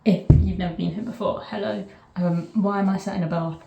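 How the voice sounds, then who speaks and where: A woman with a southern English accent chats to camera from a bath, her mellow, warm voice bouncing off the hard walls of an echoey bathroom. She rambles through stories in fits and starts, breaking off into asides and picking the thread back up. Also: mid-range voice, fairly even intonation, a little fast, creaky, highs cut off, a little hiss or hum. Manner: rambling, chatty, self-interrupting asides. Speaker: vlogger. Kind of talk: casual chat to camera.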